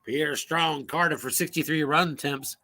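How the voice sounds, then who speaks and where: Man, play-by-play commentator, forceful sharp tone, slightly high, voice going hoarse. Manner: brisk play-by-play calls, rising on big plays. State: losing his voice, coughing. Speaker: play-by-play commentator for a tabletop football game.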